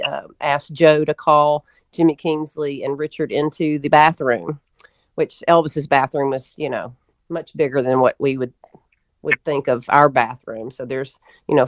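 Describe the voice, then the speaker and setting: A woman's voice: low voice, no room sound. A middle-aged woman from Memphis speaks in a dry recording with little room sound. She reminisces casually as an interview guest, telling stories in a folksy, anecdotal way. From Memphis, Tennessee, USA.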